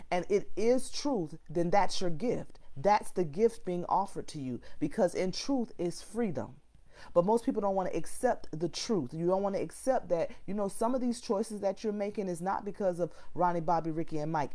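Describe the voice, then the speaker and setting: Slightly raspy low-voiced woman coach. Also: mellow. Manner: preachy, repeats phrases for emphasis. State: a little raspy. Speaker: life coach hosting a live morning show. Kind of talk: motivational talk.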